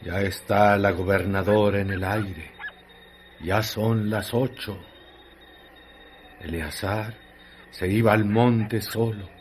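A man narrates a radio drama at a very slow, measured pace. His voice is fairly low and dramatic, and clear noise sits in the recording. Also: steady tone.

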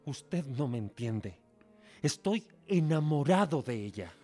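Young gentleman in love, formal and earnest, mellow tone, radio drama. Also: mid-range voice, big pitch swings, slow pace, soft background music. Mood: pleading. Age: young adult. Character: earnest, proud young suitor, a law-office assistant.

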